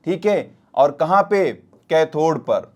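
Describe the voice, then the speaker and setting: Male teacher, slightly high voice. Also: animated, takes it slowly. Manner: didactic, repeats points with frequent check questions. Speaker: science teacher for exam preparation. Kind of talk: spoken classroom lesson.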